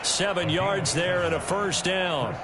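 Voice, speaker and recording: Video game football announcer, American, high-set voice, clipped descriptive calls over heavy stadium noise. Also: male voice, takes it slowly. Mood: focused. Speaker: play-by-play sports commentator. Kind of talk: American football play-by-play commentary.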